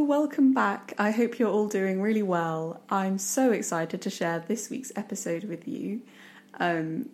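A woman's voice: on the low side, dark tone. A woman hosting a podcast, reading a scripted intro and then chatting with a guest in an eager, enthusiastic way. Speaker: podcast host.

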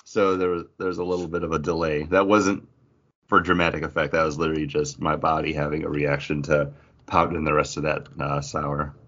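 A man's voice: extremely low pitch, level tone, fairly quick, fairly bright tone, muffled recording. A man co-hosting a podcast, chatting casually about geek culture in a joking, teasing way. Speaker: podcast co-host.

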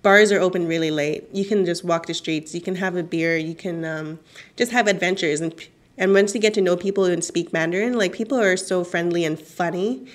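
Cantonese-native woman journalist, interview guest, lower voice, quick to laugh, lots of "like". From Chinese origin. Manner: animated, laughs often, frequent "like" fillers. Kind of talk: radio interview.

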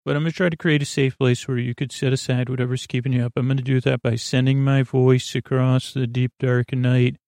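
A man hosting a sleep podcast, talking in a meandering, halting monologue full of restarts and tangents with a creaky voice. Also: medium pitch, flat delivery, a little mellow, dry recording, clean studio sound. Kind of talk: rambling late-night monologue.

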